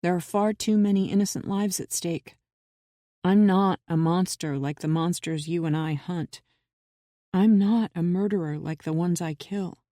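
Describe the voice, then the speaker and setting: A woman reading as a grave young woman with dark visions. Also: lowish voice, a little slow, no room sound, clean studio sound, natural voice. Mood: firm. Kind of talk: audiobook character reading.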